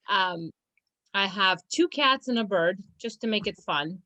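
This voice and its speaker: A woman's voice: medium pitch, lively intonation, slow, sharp tone, no echo. A young woman from Romania, a law firm's business manager, talking casually in an interview, hesitant with many fillers and restarts.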